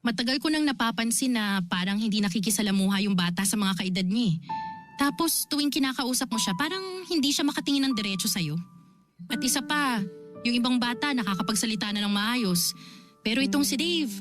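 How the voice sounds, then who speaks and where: Casting: woman playing exasperated, caring older sister in Filipino radio drama. High voice, emphatic, faint music behind. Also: brisk, lightly acted. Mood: serious, frustrated. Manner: scolding, emphatic, nagging. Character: exasperated responsible older sister and doting aunt.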